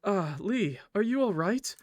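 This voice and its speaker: A man's voice: high-pitched, expressive, unhurried, a little mellow, no room sound, pitched above the performer's own voice. A man voicing a polite, solicitous, subtly creepy young male train conductor in a visual novel. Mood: tender, soothing.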